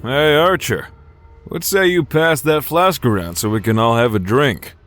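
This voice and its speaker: Man acting an animated American gunslinger outlaw. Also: mid-range voice, unhurried. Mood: laid-back, curious. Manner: laid-back, folksy. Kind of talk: dramatic fantasy audio drama.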